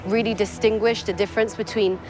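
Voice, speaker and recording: A woman from England speaks as an interviewee, sharing her opinion. Her pitch is average for a woman, with music clearly audible behind. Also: fairly even intonation, brisk, poor audio. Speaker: street interviewee.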